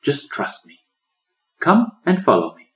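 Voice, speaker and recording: Man putting on an exuberant pelican, pitched up. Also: huge pitch swings, unhurried. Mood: excited, reassuring. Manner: beaming, exclaiming. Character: exuberant friendly male pelican, loyal guide.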